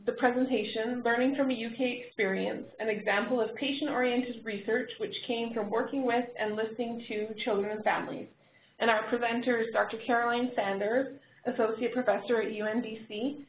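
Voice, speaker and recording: A woman hosting a webinar, reading housekeeping notes and introducing the speakers in a steady, scripted way. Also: a little high, slight background noise. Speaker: webinar host. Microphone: computer mic on a web call. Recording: echoey tinny online call audio.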